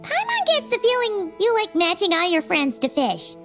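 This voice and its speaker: A woman voicing a tiny, bubbly childlike fairy companion in an anime video game, with a squeaky, perky delivery. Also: huge pitch swings, normal speed, fairly bright tone, heavily acted. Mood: cheerful, curious. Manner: perky, speaks of self in third person. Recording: music behind.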